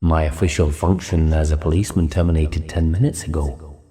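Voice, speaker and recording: Man voicing a deep, reverberant, brooding policeman's thoughts. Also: fairly even intonation, fairly quick, mellow. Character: inner thoughts of a weary, cynical policeman. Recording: long reverb effect.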